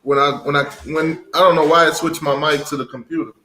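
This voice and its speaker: A man's voice: on the high side, fairly even intonation, fast talker, pushed and bright. A man in his thirties trading casual sports banter as a panelist on a fan show. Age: 30s. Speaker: panelist on college football fan talk show. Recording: echoey room with chatter behind.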